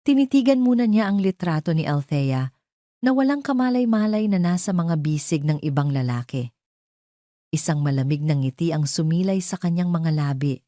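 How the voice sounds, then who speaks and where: A woman's voice: fairly low pitch, lively intonation, normal speed, warm tone, no room sound, clean studio sound. A woman with a Filipino accent narrating a scripted dramatic story.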